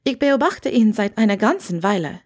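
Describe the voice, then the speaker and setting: A woman's voice: medium pitch, expressive, normal speed, a little mellow, natural voice. A woman reader puts on a friendly witch for an audiobook tale. She is blunt and sure of herself, speaking frankly and matter-of-factly with a mischievous, teasing edge. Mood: breezy, teasing. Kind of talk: audiobook character dialogue.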